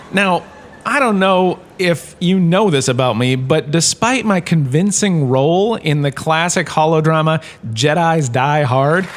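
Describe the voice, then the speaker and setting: A man's voice: fairly high pitch, a little hiss or hum. A man playing a vain, washed-up drama star lecturing as a chatty, self-satisfied professor in a comic audio drama. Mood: excitable, boastful.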